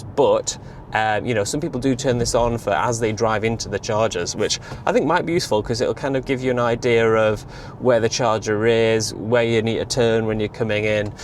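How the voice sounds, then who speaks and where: A man talking casually and hesitantly in a rambling vlog, with a fairly monotone delivery. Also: fairly low pitch. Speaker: vlogger reviewing electric car charging. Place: inside a moving car. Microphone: in-car camera on windscreen or dash. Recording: loud road noise in a car. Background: loud car and road noise.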